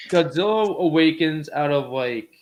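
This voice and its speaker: A man's voice: a little high, darkish tone, rough recording. A man co-hosting a casual movie chat, rambling informally and often searching for his words. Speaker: podcast co-host.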